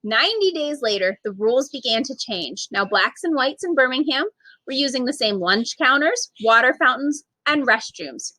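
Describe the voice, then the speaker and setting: Expressive high-pitched woman reading a storybook. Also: normal speed, no room sound, rough recording. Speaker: storytime reader, literacy program manager. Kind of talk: expressive children's picture book read-aloud.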